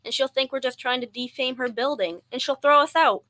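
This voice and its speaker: A woman's voice: high voice, brisk, bright, forceful tone. A young woman playing a sensible, exasperated roommate with nerves underneath, in old-time radio comedy style. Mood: exasperated, bossy.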